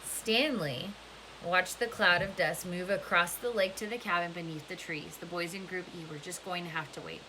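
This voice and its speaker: A woman narrating a novel aloud in an expressive storybook style, with a faint hiss in the recording. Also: fairly low pitch, normal speed, low-quality recording.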